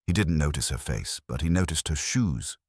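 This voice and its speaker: A man's voice: a bass voice, expressive, normal speed, husky, dry recording, clean studio sound, full character voice. A man voicing a terse, businesslike private investigator in an audiobook, reporting in clipped, matter-of-fact phrases.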